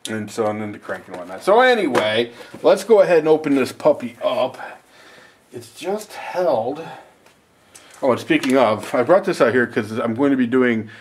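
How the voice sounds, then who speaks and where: A man's voice: medium pitch, lively intonation, normal speed. Male video host, mid-range voice, informal and rambling gear unboxing, self-deprecating delivery. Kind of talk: casual unboxing and review talk.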